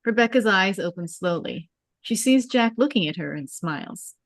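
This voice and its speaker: A woman's voice: medium pitch, animated, moderate pace, dry recording. A woman taking part in an online table read, speaking plainly as a participant and reader. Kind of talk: casual introduction and scripted narration.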